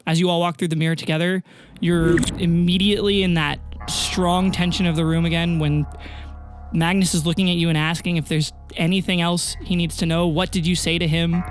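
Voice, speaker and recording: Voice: mid-range voice, flat delivery, brisk, soft background music. A game master narrating a tabletop roleplaying game with dramatic, casual, improvised storytelling.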